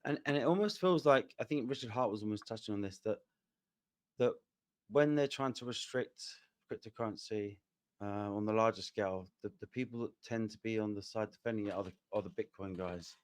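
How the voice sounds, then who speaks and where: Guy, low voice, hesitant, trails off, dry sound. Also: brisk, dry recording. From London, England. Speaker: voice chat participant. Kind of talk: casual chat.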